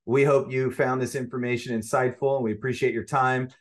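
A man hosting a podcast interview, relaxed and encouraging, asking short follow-up questions. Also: medium pitch, fairly quick. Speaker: podcast host.

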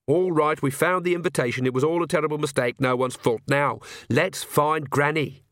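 A man's voice: fairly high pitch, moderate pace, fairly bright tone, no echo, voice pushed up, lightly acted. A man voicing a young witch woman who speaks in a dry, pointed, put-upon way. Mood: brisk.

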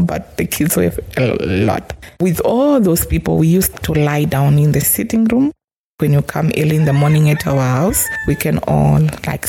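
A middle-aged woman from Zimbabwe with a Zimbabwean accent, telling her life story in an interview in a soft, halting, reflective way. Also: low voice, creaky, slightly rough. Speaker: children's home caregiver.

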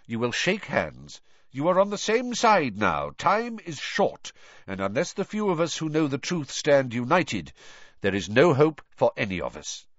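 Man voicing a grave old wizard headmaster, lively intonation. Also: mid-range voice, no echo, muffled recording, pitched above the performer's own voice. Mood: impatient, firm. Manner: measured, formal, authoritative. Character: elderly male wizard headmaster, grave, commanding, courteous. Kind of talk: audiobook character voice.

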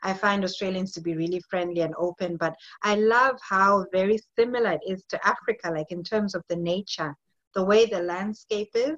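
A woman's voice: mid-range voice, brisk, a bit bright, highs cut off. A woman in her late thirties from Zimbabwe, a fitness coach answering interview questions in a friendly, thoughtful way with some hesitation. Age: 30s.

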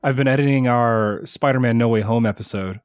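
A man's voice: medium pitch, brisk, no room sound, studio quality, faint music behind. A man co-hosting a casual podcast, recovering from a chest cold, rambling through a story and chuckling often. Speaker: podcast co-host. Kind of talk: casual chat.

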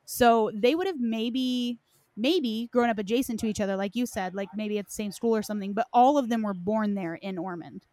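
A woman's voice: fairly high pitch, moderate pace, dark tone. A woman hosting a casual discussion, speaking in a loose, conversational way with plenty of hedging. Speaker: host of a game lore discussion.

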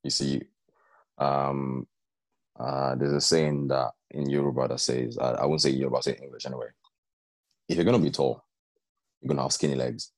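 Very deep-voiced man, earnest and chatty. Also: fairly even intonation, moderate pace, long pauses, dry recording. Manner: earnest, storytelling, keeps asking "do you know what I mean". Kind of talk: casual group chat.

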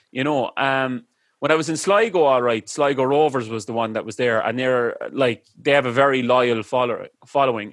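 A man's voice: mid-range voice, a bit bright, no echo, studio quality. A man with an Irish accent, chatting on a podcast in a rambling way with lots of fillers. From Ireland. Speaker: podcast host. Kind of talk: casual chat.

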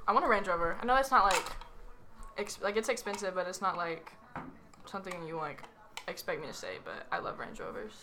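A woman's voice: fairly low pitch, lively intonation, brisk, noisy background. A tired teenage girl co-hosting a podcast, talking in laid-back, slangy casual chat. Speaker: podcast co-host.

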